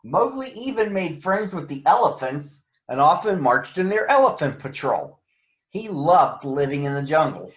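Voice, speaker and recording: An earnest, protective panther, played by a man, narrates a storybook jungle tale. The voice is measured and a little high. It sounds roomy, with slightly muffled lo-fi audio. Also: big pitch swings. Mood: earnest storytelling. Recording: roomy echo, dull lo-fi sound.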